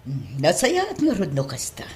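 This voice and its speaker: Low, animated, concerned madam played by an actress. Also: female voice, normal speed. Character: concerned, motherly female superior addressed as ma'am. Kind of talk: Ilocano radio-style drama acting. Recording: clear background ambience noise.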